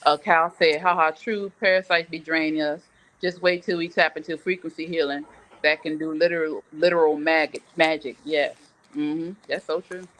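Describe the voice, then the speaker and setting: A woman's voice: low-pitched, fairly even intonation, normal speed, a bit bright, rough recording. A middle-aged woman hosting a casual live morning show, giving frank, emphatic advice and telling stories conversationally. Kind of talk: casual chat, reading viewer comments and telling personal stories.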